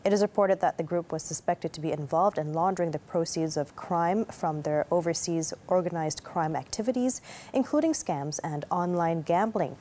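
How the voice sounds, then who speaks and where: A woman's voice: lowish voice, moderate pace, dark tone, a little hiss or hum. A woman reading scripted news as an anchor.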